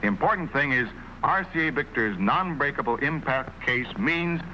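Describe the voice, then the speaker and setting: A man announcing a mid-century television commercial as a sales pitch. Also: lively intonation, normal speed, noisy background. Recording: old TV ad soundtrack with music.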